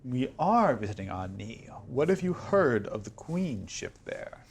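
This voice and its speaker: A man's voice: mid-range voice, very animated, a little slow, vocal fry, a little hiss or hum. A man playing a young elven nobleman in a fantasy tabletop game, a dutiful and calculating grandson with formal, polite phrasing. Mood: measured, probing.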